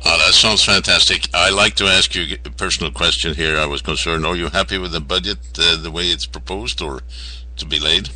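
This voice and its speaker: A man conducting an informal interview, halting and wordy as he rephrases his questions. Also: sits low, steady tone, pushed and bright, no room sound, rough recording.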